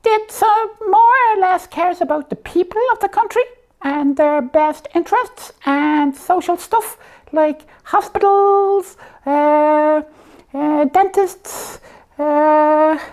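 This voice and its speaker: A tiny, very high puppet voice, belonging to a slipper who hosts a comedy show. It explains things in simple, halting, matter-of-fact phrases and is easily exasperated. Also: female voice, a little mellow. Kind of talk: comic puppet dialogue explaining a topic simply.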